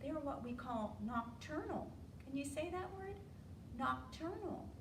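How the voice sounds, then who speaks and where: An American woman leading an interactive storytime for preschoolers, asking simple questions and drawing out key words in an animated way. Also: high-pitched, a little slow. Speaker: children's storytime librarian. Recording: roomy, distant mic, faint room noise.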